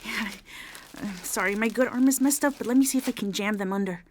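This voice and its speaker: An actress playing an anxious, hushed woman trapped in an apartment after a disaster, in an audio drama. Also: mid-range voice, fast, close to an everyday voice. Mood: anxious, helpful. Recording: clear background noise.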